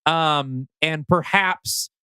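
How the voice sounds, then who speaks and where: A man with a fairly high, clean and resonant voice talks through a story like a podcast co-host. He is thoughtful and measured, in a casual analytical style, and the sound is dry and close. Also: pushed and bright, smooth, no echo.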